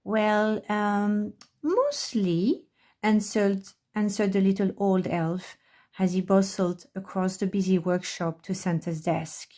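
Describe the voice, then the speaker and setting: A woman narrates a children's picture book for storytime. Her delivery is unhurried and her tone is mellow, at a middle pitch for a woman. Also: level tone. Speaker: library storytime reader.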